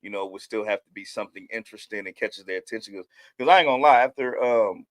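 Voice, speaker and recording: A man's voice: lowish voice, fast talker, no room sound. A man with an American accent in a casual podcast discussion, speaking informally with slang and swearing. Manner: slangy, informal, uses profanity. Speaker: podcast panelist.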